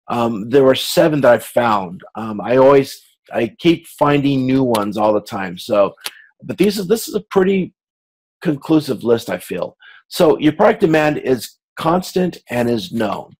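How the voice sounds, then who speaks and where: A man's voice: medium pitch, a little mellow, low-quality recording. A man lecturing informally to a class, explaining step by step and often repeating and rephrasing himself as he goes. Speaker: university course lecturer.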